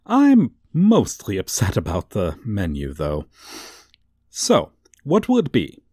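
Guy doing a gloating villain for asmr roleplay, slow n teasing, super animated pitch, close dry sound. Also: breathy edge, dry recording, full character voice. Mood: smug, menacing. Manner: theatrical, teasing, drawn-out delivery. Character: gloating, suave, theatrical male supervillain toying with a young hero. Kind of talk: comedic ASMR audio roleplay.

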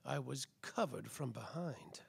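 A man's voice: medium pitch, animated, unhurried, creaky, breathy edge, full character voice. A man playing a world-weary, bumbling private detective, melodramatic and self-important, in a noir parody radio play. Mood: dry narrating.

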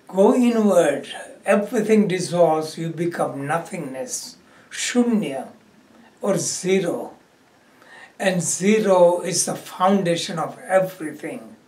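Very slow man guiding meditation, roomy sound. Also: a little high. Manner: instructive, speaks in short phrases with pauses. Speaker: yoga and meditation teacher. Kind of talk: guided meditation. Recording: roomy echo, distant microphone.

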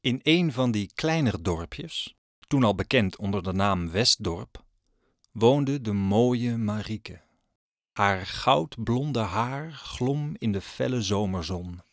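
Deep, slow-paced male storyteller. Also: animated, no echo, studio quality. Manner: solemn, deliberate. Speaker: narrator. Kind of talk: scripted storytelling narration for audio drama.

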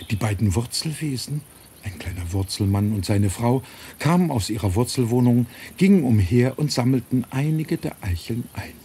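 A man's voice: mid-range voice, mellow, slight background noise. A middle-aged man reading a children's storybook as scripted audiobook narration. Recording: nature ambience and birdsong behind voice. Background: birds, forest ambience.

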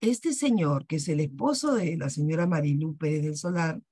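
A woman's voice: deep voice, normal speed, warm tone, husky, no room sound, clean studio sound. A woman with a Peruvian Spanish accent hosts a wellness workshop on a video call, selling in a persuasive way and asking rhetorical questions. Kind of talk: sales presentation on a video call.

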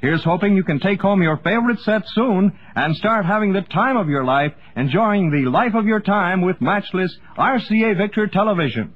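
A man's voice: high voice, expressive, moderate pace, few pauses. An American man doing polished, promotional announcements as a 1950s radio announcer. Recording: dull 1950s radio recording.

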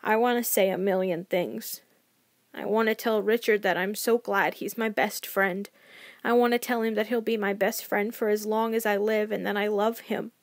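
A woman's voice: medium pitch, fairly even intonation, moderate pace, no echo, studio quality, close to an everyday voice. A woman reading a first-person story as a sensitive young child, anxious and loyal, in an emotive, naive, confiding way. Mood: earnest, determined.

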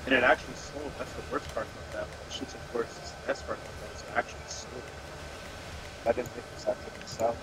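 A man's voice: mid-range voice, steady tone, quick pace. A man chatting casually with fellow players over gaming voice chat. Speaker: gamer chatting with teammates. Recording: very noisy voice chat, loud ambience.

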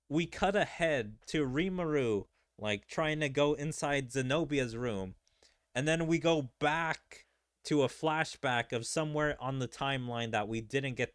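A man's voice: medium pitch, clear, resonant tone, dry recording, studio quality. A man gaming streamer giving casual, rambling playthrough commentary, thinking aloud in a puzzled way.

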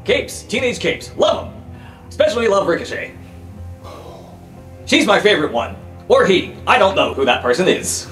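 An excitable male bigwig acted by a man from a superhero game. The voice jumps well above the performer's own and gushes in short exclamations, with faint music behind. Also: high voice, normal speed, long pauses, reverberant, pitched above the performer's own voice. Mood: gushing. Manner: gushing, exclamatory. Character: excitable male public figure gushing over teenage superheroes.

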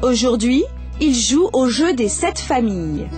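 High, slow female narrator over music. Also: sing-song. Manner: slow, clear. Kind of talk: scripted narration.

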